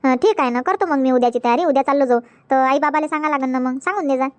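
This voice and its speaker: A woman voicing a young married woman in a comedy cartoon, talking quickly and chattily with a Varhadi Marathi accent. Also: high voice, no echo, close to an everyday voice. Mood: agreeable. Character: young wife Megha, also playing Preeti on the phone.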